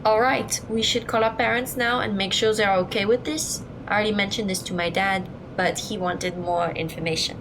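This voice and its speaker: A woman playing a sensible teenage girl who takes charge of her friends in an audio drama, brisk and decisive. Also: medium pitch, steady tone, noisy background, natural voice.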